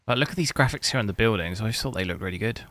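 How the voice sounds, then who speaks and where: Bright-voiced man rambling. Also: fairly low pitch, lively intonation. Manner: rambling, halting restarts. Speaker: streamer. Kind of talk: casual live stream chat.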